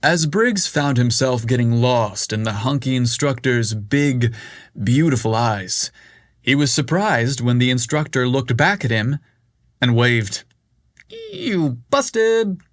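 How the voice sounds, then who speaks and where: Male narrator, slow, measured, dry close-mic sound. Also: mid-range voice, no room sound. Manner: measured, unhurried storytelling. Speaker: story narrator. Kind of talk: scripted story narration.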